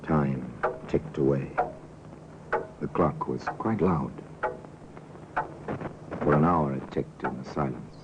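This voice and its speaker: An actor playing a grave, measured narrator of crime tales in an old radio drama, on a hissy, muffled recording. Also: male voice, rumbling low.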